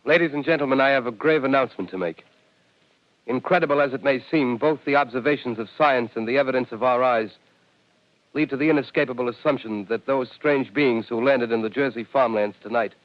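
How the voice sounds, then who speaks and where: An actor playing an official making a grave announcement in a 1930s radio drama, solemn and formal. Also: male voice, medium pitch, a little fast, natural voice. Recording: dull lo-fi old radio recording.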